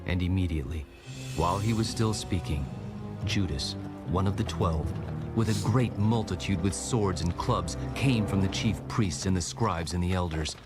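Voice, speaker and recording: A low-pitched man narrates scripture with solemn gravity. His storytelling is measured and scripted, as in an audio Bible. Music runs clearly behind his voice.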